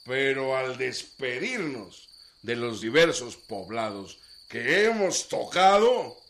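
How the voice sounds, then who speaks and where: An actor playing a stern, self-righteous army general in a radio drama, declaiming with emphatic pauses. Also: male voice, medium pitch, big pitch swings, a bit bright, heavily acted. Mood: stern, proud.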